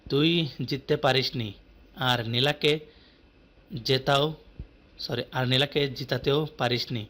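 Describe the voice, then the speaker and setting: A man's voice: mid-range voice, fairly even intonation, slow pace, fairly bright tone. A man playing a boastful, mocking love rival who appears as a rat in a dream, in an audio story. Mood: gloating. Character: taunting male rival with a dancing rat's body in a dream. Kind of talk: dramatised audio story acting.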